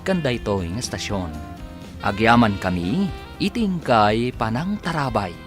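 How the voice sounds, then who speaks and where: A man's voice: mid-range voice, very animated, unhurried. A man announcing a radio drama in a scripted, dramatic broadcast style. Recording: music under the voice.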